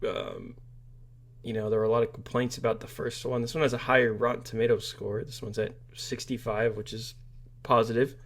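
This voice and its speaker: A man's voice: medium pitch, little pitch movement, a little fast. A man co-hosting a podcast, giving casual film opinions in a blunt, slangy way. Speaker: podcast co-host. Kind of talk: casual film review chat.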